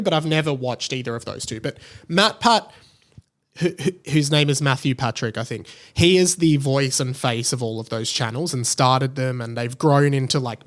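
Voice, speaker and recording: A man with a slightly high voice gives casual commentary as a solo podcast host. He talks conversationally, working through his thoughts aloud. He often repeats a word to stress his point. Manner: conversational, thinking aloud, emphatic repetition.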